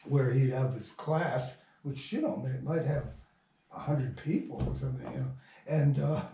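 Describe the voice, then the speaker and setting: An older man telling anecdotes in an interview, halting and often interrupting himself in informal, salty language. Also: mid-range voice, gravelly, dark tone. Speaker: interviewee, radio drama producer. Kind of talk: rambling anecdotal storytelling in an interview. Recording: echoey, distant mic, faint noise.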